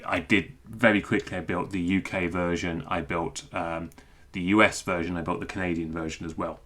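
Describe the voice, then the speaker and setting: Very deep-voiced British man explaining. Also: pushed and bright. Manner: conversational, digressive, thinking aloud. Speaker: amateur radio hobbyist and programmer presenting a talk. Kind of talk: informal unscripted technical explanation.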